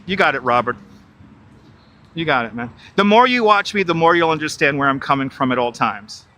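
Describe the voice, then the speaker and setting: A man's voice: a little high, expressive, fairly quick, pushed and bright. Chatty New man streaming outdoors. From New York. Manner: chatty, repeats himself, reads and answers viewer chat. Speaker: live streamer. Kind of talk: walking live stream chatting with viewers. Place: outdoors along a river. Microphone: wireless clip-on mic.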